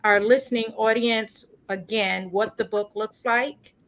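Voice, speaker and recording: A woman's voice: a little high, a little slow. A woman hosting a community religious program, speaking informally in an encouraging, courteous way. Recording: steady background noise.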